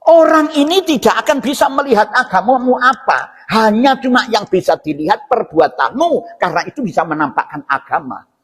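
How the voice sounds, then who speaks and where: A man's voice: high voice, expressive, normal speed, fairly bright tone, husky. A man with a Javanese accent giving an informal mosque sermon, explaining scripture in a didactic way with rhetorical questions and check-in tags. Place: inside a mosque. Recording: reverberant hall sound.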